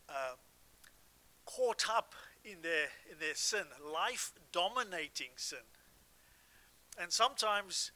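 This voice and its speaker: A man with a South African accent preaching a sermon as a guest pastor, earnest and halting, with frequent restarts and fillers. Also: sits high, bright, forceful tone, low-quality recording.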